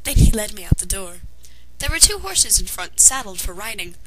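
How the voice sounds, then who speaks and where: A woman's voice: medium pitch, big pitch swings, normal speed, crowd noise. A woman reading a fan fiction story aloud as narrator, in a dramatized way. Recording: noisy amateur home recording.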